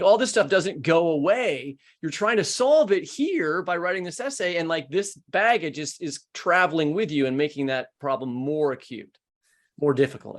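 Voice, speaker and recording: An American man hosting a podcast, talking in a conversational, rambling, emphatic way as he works through his questions. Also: a little high. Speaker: podcast host.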